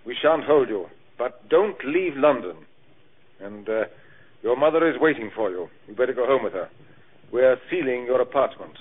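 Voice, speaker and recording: Brisk police inspector, played by a man, old radio. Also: mid-range voice, expressive, phone-quality sound, close to an everyday voice. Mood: probing, firm. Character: brisk, authoritative male police inspector questioning witnesses. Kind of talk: old-time radio crime drama acting. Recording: thin band-limited old radio recording, music.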